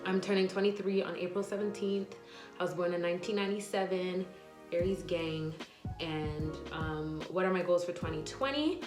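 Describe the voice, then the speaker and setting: A woman's voice: on the low side, normal speed. Chatty young woman in an echoey room. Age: 20s. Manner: chatty, rambling, many "like" and "um" fillers. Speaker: nurse and vlogger. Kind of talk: casual Q&A vlog, reading viewer questions. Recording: echoey room with faint music.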